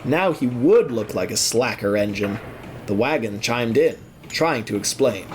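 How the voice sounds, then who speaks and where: Animated male storyteller, lively pitch. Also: measured pace, slight background noise. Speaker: narrator. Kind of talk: dramatic scripted storybook narration.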